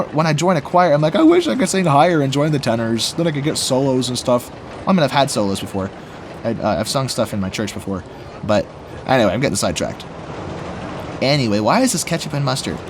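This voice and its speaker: A young man with a rough, slightly raspy voice after being sick, chatting casually and rambling as he plays a theme park building game. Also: mid-range voice, sing-song, quick pace, slight background noise. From Washington State. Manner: rambling, chatty, laughs often, talks to himself while building. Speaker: gaming YouTuber. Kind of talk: casual let's play commentary.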